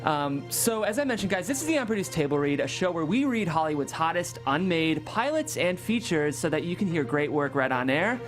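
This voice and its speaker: A woman's voice: low voice, big pitch swings, brisk, few pauses, soft background music. A woman hosting a panel and reading out script narration. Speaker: host and narrator.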